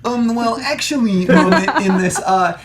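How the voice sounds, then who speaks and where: A man's voice: sits high, unhurried, a loud crowd. Rambling man on a review panel. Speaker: panelist on a film review show. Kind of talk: casual panel chat.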